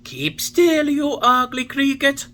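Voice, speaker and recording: A woman's voice: sits high, big pitch swings, takes it slowly, a bit bright, full character voice. A woman playing a cheeky wooden boy. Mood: angry. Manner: cheeky, defiant retorts. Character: impudent wooden boy marionette, defiant.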